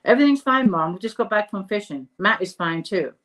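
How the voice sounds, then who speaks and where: A woman reading the part of a warm, hurried adult daughter in a storybook, brisk and affectionate. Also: medium pitch, lively intonation, natural voice, rough recording. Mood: cheerful, hurried.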